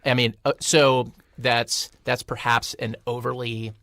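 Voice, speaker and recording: A man on a casual film discussion panel, explaining things in a wry, halting manner. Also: mid-range voice, flat delivery, pushed and bright, dry recording. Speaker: podcast panelist. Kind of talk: casual panel chat about films.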